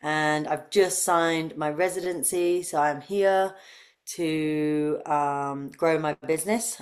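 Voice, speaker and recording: Englishwoman, 30s, low-pitched voice, conversational interview guest. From UK, grew up near London. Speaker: interview guest, breathwork facilitator.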